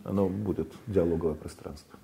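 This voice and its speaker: A man from Belarus, talking haltingly as an interview guest, with many fillers and restarts. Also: sits low, steady tone, gravelly, warm tone. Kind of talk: casual interview talk.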